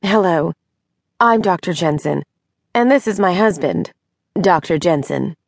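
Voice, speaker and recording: A woman's voice: lowish voice, expressive, a little slow, gravelly, no room sound, studio quality, exaggerated voice. A woman voicing a soothing, composed young woman doctor who takes charge in an audiobook. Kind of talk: audiobook character dialogue.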